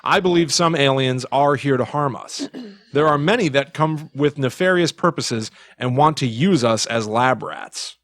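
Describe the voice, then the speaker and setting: A man's voice: medium pitch, normal speed, a bit bright, no echo. A man hosting a casual podcast, talking conversationally with wry, exaggerated commentary. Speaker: podcast host.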